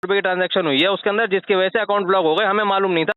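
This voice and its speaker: A man's voice: sits high, steady tone, racing, smooth, no echo. A man, arguing insistently and indignantly as a customer on a recorded phone call, lecturing the person at the other end. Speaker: credit card customer disputing recovery calls. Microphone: telephone line.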